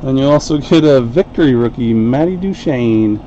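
A man hosting a live card break, calling out each card in an unhurried, chatty way. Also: medium pitch, animated, dark tone, dull audio, slight background noise. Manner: unhurried, chatty play-by-play while opening packs. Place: home card-break room, indoors.

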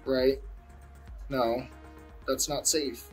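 A man calmly and patiently giving short instructions while doing plumbing work at home. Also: mid-range voice, drawn-out words, faint music behind, rough recording. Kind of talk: casual chat while working.

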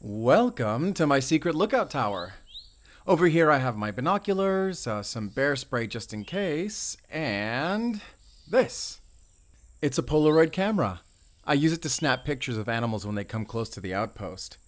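A man playing a friendly, talkative, excitable young forest ranger with an American accent in a roleplay audio drama. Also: medium pitch, moderate pace, close to an everyday voice. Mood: friendly, proud. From Arizona, US. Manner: talkative, eager, rambling, with small chuckles.

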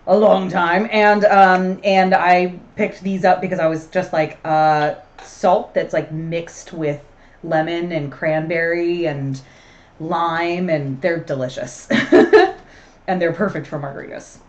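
A woman's voice: on the low side, steady tone, takes it slowly, highs cut off. A woman in her thirties co-hosting a casual livestream chat from a small room, chatty and upbeat. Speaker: co-host.

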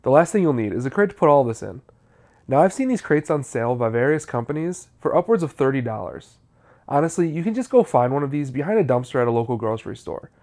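A man's voice: medium pitch, very animated, fast talker, darkish tone. A man, narrating a how-to video in a scripted, explanatory style.

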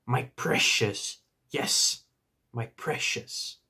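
A man's voice: mid-range voice, slow pace, gravelly, sharp tone, husky, heavily acted. Man voicing an angry, possessive old hobbit. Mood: possessive whisper. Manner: defiant, obstinate, indignant.

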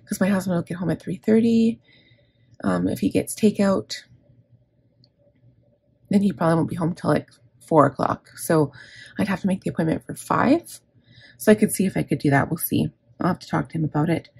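A middle-aged woman with a scratchy, gravelly throat, chatting casually and candidly through her planner, rambling and correcting herself. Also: fairly low pitch, fairly quick, pauses often, darkish tone, no echo. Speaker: planner vlogger. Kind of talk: casual plan-with-me chat. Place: indoors at a desk.

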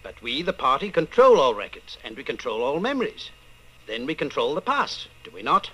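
An actor playing a coldly patient, menacing party inquisitor in old-time radio style, measured, condescending and commanding. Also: male voice, mid-range voice, big pitch swings, sharp tone, husky, exaggerated voice, rough recording. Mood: controlled menace.

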